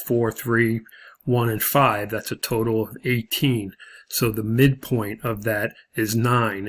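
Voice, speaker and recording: A man instructing in a video lesson, explaining a worked problem step by step in a halting way. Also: on the low side. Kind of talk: video lesson walking through example problems.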